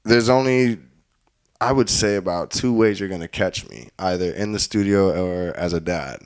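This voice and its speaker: A man's voice: low voice, normal speed, clean tone. A man in his late twenties from the Caribbean, telling stories in casual chat, laughing often and acting out what others said. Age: 20s. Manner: storytelling, laughs often, acts out quoted speech. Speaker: guest music producer.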